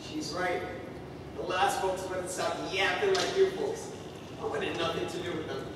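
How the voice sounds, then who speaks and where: Young man acting sarcastic high-voiced sleepy traveler, distant. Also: little pitch movement, unhurried. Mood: grumbling. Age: young adult. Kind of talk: high school stage play acting. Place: high school theater stage. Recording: echoey hall from far off, room noise.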